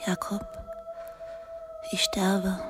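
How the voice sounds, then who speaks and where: A woman playing a dying, sorrowful schoolmistress in an intimate radio drama, breathy, half-whispered, tender and confiding. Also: medium pitch, wildly swooping pitch, loud music behind the voice, exaggerated voice. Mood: sorrowful, fading. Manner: slow, hushed, confiding, tender. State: weak, dying (acted).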